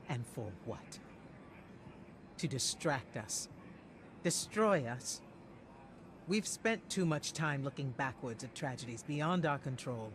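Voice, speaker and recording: An actor playing a stern, bitter, defiant military commander in a video game cutscene, intense and emphatic, in clipped phrases. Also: male voice, medium pitch, noisy background.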